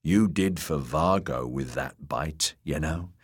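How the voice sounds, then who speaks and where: A man's voice: very deep voice, slow pace, voice pushed down, heavily acted. An actor voicing a sly, menacing male outlaw with a Dornish drawl and a sneering manner. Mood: telling.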